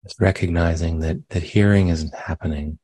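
A man leading a guided meditation as a teacher, speaking gently and unhurriedly with long pauses. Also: low voice, steady tone, husky, no room sound.

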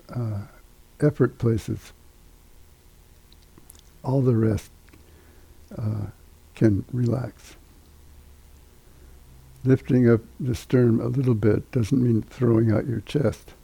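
Meditation teacher, older male, very dark mellow tone, husky, halting delivery with long pauses. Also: medium pitch. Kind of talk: guided meditation instruction.

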